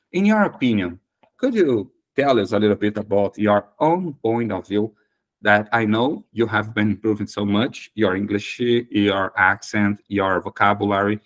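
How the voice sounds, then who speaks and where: A Brazilian man with a Brazilian Portuguese accent hosting a casual English practice chat, speaking hesitant non-native English with frequent fillers. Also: lowish voice. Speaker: host.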